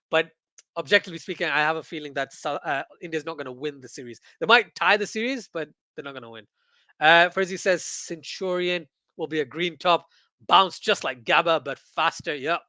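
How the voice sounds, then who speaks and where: Male livestream cricket host from Pakistan, high and bright voice, close dry sound, excitable casual chat. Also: no echo. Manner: excitable, repeats phrases for emphasis. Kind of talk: casual watchalong chat, reading viewer comments aloud.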